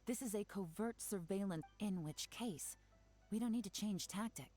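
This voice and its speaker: A woman's voice: medium pitch, moderate pace, dark tone, faint music behind, natural voice. A woman playing a cool, shrewd spymaster in a video game, composed and authoritative. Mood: commanding.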